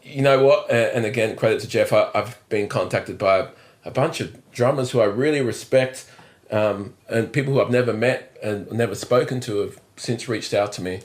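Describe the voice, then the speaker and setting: Deep-voiced Australian man rambling easily. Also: normal speed. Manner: rambling, self-deprecating, restarts a lot. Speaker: drummer and musical director, podcast guest. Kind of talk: casual interview chat. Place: indoors, on a couch.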